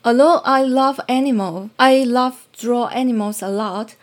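Higher-voiced woman with a Chinese accent. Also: unhurried. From southern China. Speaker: illustrator being interviewed. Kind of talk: conversational interview talk.

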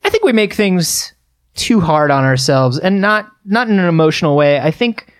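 Man co-hosting a podcast, halting delivery. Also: a little high, big pitch swings, clear, resonant tone. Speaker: podcast co-host. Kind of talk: casual conversational storytelling.

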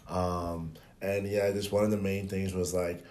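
Give me a deep-voiced twenty-two-year-old man on a podcast. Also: unhurried, roomy sound. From Benin. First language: French. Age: 20s. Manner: relaxed storytelling with frequent fillers. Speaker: guest. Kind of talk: casual podcast chat.

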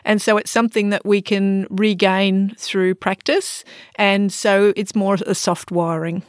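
A middle-aged Australian woman explains her work in a relaxed, unhurried way. Her voice sits in a mid range, and the sound is dry and close. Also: medium pitch, no room sound. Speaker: psychology lecturer, guest expert. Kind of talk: interview answers.

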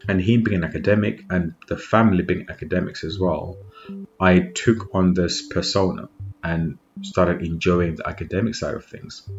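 A man from Zimbabwe hosting a podcast, talking in a relaxed, reflective way. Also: low-pitched, normal speed. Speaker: podcast host. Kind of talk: casual talk. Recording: dull lo-fi sound with faint noise.

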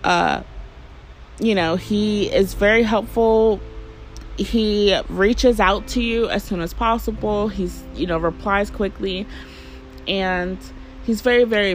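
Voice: medium pitch, rough recording. A podcast host in a casual solo monologue, rambling and chatty, with lots of fillers and the odd swear word.

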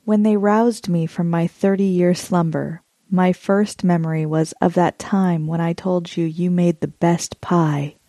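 A woman reading a poem as a measured first-person monologue. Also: lowish voice, fairly even intonation, dark tone, no room sound. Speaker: poetry reader.

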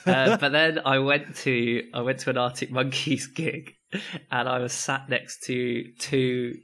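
A man's voice: steady tone, a little slow, pushed and bright. A young man chatting casually as a podcast co-host, telling rambling anecdotes and laughing often. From England. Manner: rambling storyteller, laughs often, mimics people in higher voices. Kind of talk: casual chat.

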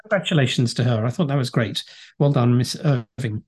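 A man's voice: medium pitch, a little fast, a little mellow, slightly rough. A man hosting a podcast, upbeat and friendly, interviewing his co-host. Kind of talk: casual podcast chat.

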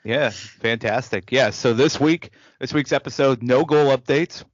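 A man's voice: medium pitch, measured pace, no echo, muffled recording. A man in his thirties hosting a casual podcast chat, teasing his co-hosts in an upbeat way. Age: 30s. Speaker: podcast host.

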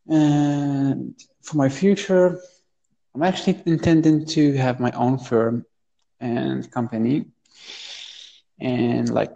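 A man's voice: mid-range voice, gravelly, darkish tone. Hesitant young Moroccan man, reverberant sound. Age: 20s. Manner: hesitant, searching for words. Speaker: interview guest. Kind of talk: casual interview conversation.

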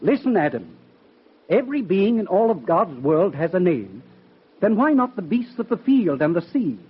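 A man's voice: high voice, very animated, moderate pace, full character voice. An actor playing a needling, sardonic male archangel in a 1960s radio drama. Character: prying, mocking male archangel Michael. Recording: raspy tinny old radio broadcast.

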